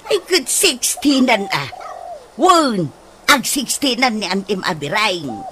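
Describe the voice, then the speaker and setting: Talkative Ilocano Filipino mother, lively high voice, radio drama, noisy background. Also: slow. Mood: chatty. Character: chatty mother answering her daughter.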